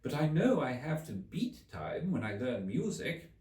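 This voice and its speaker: A man voicing a polite, curious young storybook girl who speaks gently and questioningly. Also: mid-range voice, very animated, a little slow, voice pushed up. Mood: thoughtful. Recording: roomy, distant microphone.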